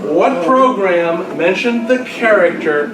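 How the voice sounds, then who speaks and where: A man's voice: high-pitched, lively intonation, slow pace, a crowd in the background. A man acting as quiz master, reading trivia questions to a group and bantering in a jokey, teasing way. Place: large indoor room at a club luncheon. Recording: roomy, noisy hall, far from mic. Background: room noise from a gathered group.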